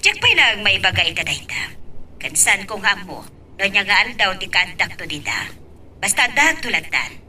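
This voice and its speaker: A woman with an Ilocano Filipino accent acting a brisk, insistent woman in a family drama. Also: medium pitch, sing-song, bright, forceful tone, slight background noise. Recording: distant, off-mic pickup.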